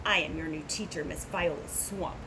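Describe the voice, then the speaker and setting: A woman's voice: deep voice, steady tone, moderate pace, pitched below the performer's own voice, close to an everyday voice. Woman acting stern, nasty substitute teacher, noisy recording. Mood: stern, snapping. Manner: snappish, commanding.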